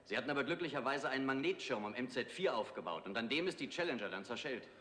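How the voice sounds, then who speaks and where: Fast, sardonic space crewman. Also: mid-range voice, lively intonation, bright, forceful tone. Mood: wry, casual. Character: irritable, sardonic male space crew radio officer. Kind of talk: 1960s TV drama acting. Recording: reverberant, hissy 1960s TV soundtrack.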